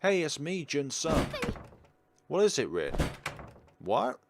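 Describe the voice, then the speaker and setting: A man's voice: a little high, wildly swooping pitch, slow pace, gravelly, background noise. A man doing let's play commentary, reading visual novel text aloud. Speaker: gaming YouTuber. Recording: game music behind the voice. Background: video game music.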